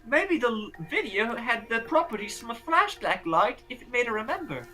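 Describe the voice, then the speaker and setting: Woman acting a brash, high, bright-voiced schoolgirl. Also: sing-song, normal speed. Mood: intense. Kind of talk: anime game fandub acting. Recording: faint music behind.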